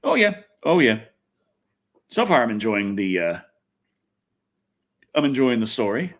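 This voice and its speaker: Animated American man chatting on a livestream. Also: medium pitch, unhurried. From Texas, United States. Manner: self-deprecating, swears freely, thinks aloud. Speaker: livestream host and video reviewer. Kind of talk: casual rambling livestream chat answering viewers.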